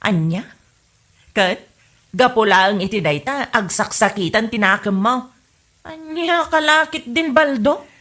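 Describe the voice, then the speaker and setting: A woman's voice: on the high side, lively intonation, a little slow, pauses often, fairly bright tone. A woman playing an exasperated, scolding wife in a comedy audio drama, with an Ilocano Filipino accent. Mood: puzzled. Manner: nagging, scolding.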